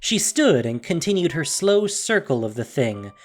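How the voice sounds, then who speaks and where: A narrator reading a scripted fantasy story in a measured, theatrical way with deliberate pauses. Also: mid-range voice, big pitch swings, faint music behind.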